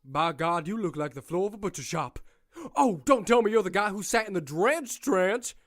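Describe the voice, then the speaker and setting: A man's voice: high voice, animated, a bit bright. An actor playing a manic, gleefully morbid male warden who talks in a giddy, sarcastic rush. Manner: giddy, rapid-fire and sarcastic.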